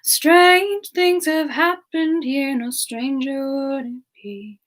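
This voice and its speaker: A woman plays a young frost demoness with a high, soft voice. She talks slowly and hesitantly, with a sweet, affectionate temper, as if chatting gently with viewers on an in-character ASMR stream. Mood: soft, wistful. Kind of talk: semi-ASMR in-character live Q&A.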